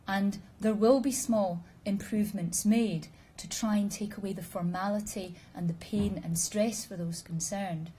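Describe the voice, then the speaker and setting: A woman giving a measured, explanatory conference presentation and answering questions with dry humor. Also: mid-range voice, fairly even intonation, mellow, a little hiss or hum. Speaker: conference speaker. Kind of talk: formal presentation with audience questions.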